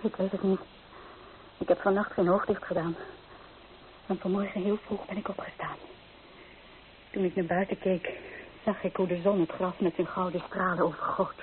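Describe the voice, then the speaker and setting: An actress playing a restless, romantic young bride-to-be in a Dutch radio drama, speaking with emotional, poetic intensity. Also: female voice, fairly low pitch, level tone, normal speed, long pauses, phone-quality sound, lightly acted. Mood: anguished, wistful. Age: young adult. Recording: thin, band-limited old radio recording, faint noise.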